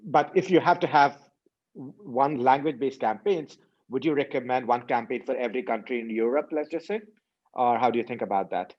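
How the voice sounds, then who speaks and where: A man's voice: medium pitch, fairly quick. A man hosting an interview over a video call, asking questions and recapping his guest's points with frequent affirmations. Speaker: host. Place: indoor room by a window. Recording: slightly dull video call audio.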